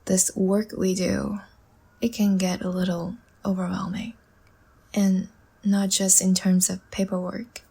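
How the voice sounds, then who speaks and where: Woman playing a languid, breathy, half-whispering vampire seductress. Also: medium pitch, completely flat delivery. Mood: soothing, teasing. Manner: slow, soft, teasing and soothing. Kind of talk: intimate ASMR audio roleplay.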